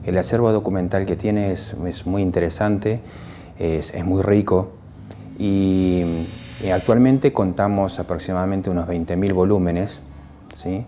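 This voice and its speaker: A man's voice: low-pitched, steady tone, unhurried, dark tone, slight background noise. A man with an Argentine accent, explaining things in an interview as a member of library staff.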